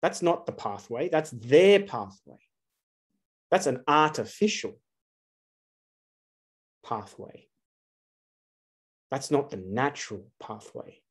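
A man's voice: medium pitch, darkish tone, breathy edge. A man, giving an earnest solo spiritual talk to camera, asking rhetorical questions with emphasis. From Australia. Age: 40s. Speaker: astrologer.

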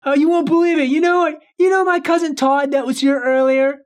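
A woman playing an excitable, good-natured young man wrongly jailed, in an improvised game roleplay. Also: high voice, a little fast, pitched above the performer's own voice, lightly acted. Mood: relieved, weary.

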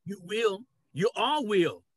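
Domineering leader of men, played by an older man, high-pitched and declamatory, dry sound, stage reading. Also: expressive, slow, breathy edge, no room sound, full character voice. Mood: commanding. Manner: declamatory, commanding. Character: domineering man who proclaims human rule over animals. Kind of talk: dramatic play reading. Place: cluttered home room, video call. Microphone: computer mic on video call.

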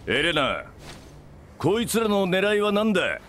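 An actor playing a gruff, blustering middle-aged father who runs a company, barking and rough-spoken in a video game cutscene. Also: high-pitched, very animated, unhurried, fairly bright tone, a little hiss or hum, full character voice. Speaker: game character.

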